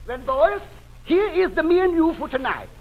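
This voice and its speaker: An actor playing a fussy cook with sputtering, drawn-out R sounds, 1940s radio comedy. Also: male voice, very high voice, big pitch swings, full character voice. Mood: fussy, elaborate. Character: fussy sputtering cook with absurd trilling delivery. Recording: dull, noisy old radio broadcast.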